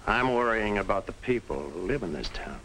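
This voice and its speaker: An actor playing a sober, principled small-town man in a 1950s film drama, speaking earnestly and deliberately on an old soundtrack. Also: fairly low pitch, lively intonation, a bit bright, a little hiss or hum, rough recording. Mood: grave, earnest.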